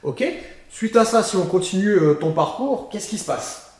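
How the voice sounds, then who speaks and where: Male interviewer, motivational asides, boomy reverberant space. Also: normal speed. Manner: warm prompting, addresses the audience directly. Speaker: host. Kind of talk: interview host, encouraging. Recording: very echoey room.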